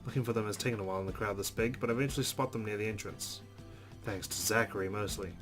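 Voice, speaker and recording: A man's voice: on the low side, fairly even intonation, fast talker. A man reading visual novel narration aloud as a streamer, stumbling now and then and dropping in amused asides. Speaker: let's play streamer. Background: guitar music from the game.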